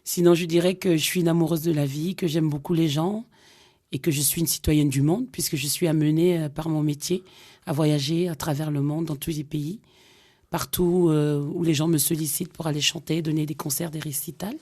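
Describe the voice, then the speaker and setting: A woman's voice: low-pitched, fairly even intonation, fairly quick. Hesitant woman singer from Cameroon. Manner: hesitant, warm-hearted, many fillers. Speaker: guest singer. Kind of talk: radio interview. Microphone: studio microphone.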